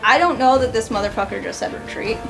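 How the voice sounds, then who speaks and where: A woman's voice: on the high side, fast talker, vocal fry. A woman playing a tabletop game, describing her moves casually with some swearing. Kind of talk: improvised casual game narration. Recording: music bed behind the voice.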